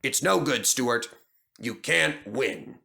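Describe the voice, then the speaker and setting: A man's voice: medium pitch, expressive, takes it slowly, creaky, pushed and bright, heavily acted. Man voicing a cheeky, teasing young steam engine. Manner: exclamatory and mocking. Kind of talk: children's storybook character acting.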